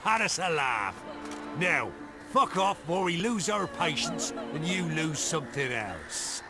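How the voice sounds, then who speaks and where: A jeering bandit leader, with a high, very creaky voice. He drawls coarse threats slowly in rustic fantasy game style, over loud music. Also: big pitch swings. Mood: menacing. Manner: slow, mocking, threatening. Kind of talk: fantasy game voice acting, rustic slangy speech.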